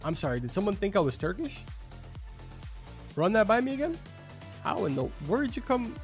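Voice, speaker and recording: A man's voice: high voice, very animated, brisk. Young man, native Spanish speaker, streaming. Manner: chatty, filler-heavy, answers chat questions. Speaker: game streamer. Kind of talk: casual live-stream chat with viewers. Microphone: studio microphone. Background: faint game music.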